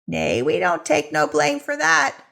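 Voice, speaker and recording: A woman's voice: high voice, wildly swooping pitch, unhurried, gravelly, a bit bright, pitched above the performer's own voice, heavily acted. A woman voicing a rustic, self-righteous townsman who calls out indignantly in folksy speech, as part of a story reading. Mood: defensive. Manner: folksy, dialect grammar, indignant.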